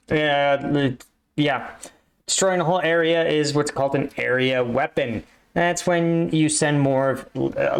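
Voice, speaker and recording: A man's voice: on the high side, vocal fry. A man giving casual reaction commentary, explaining military points step by step and checking in with the listener. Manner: explanatory, often checks in with "right?". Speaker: host, army combat veteran reacting.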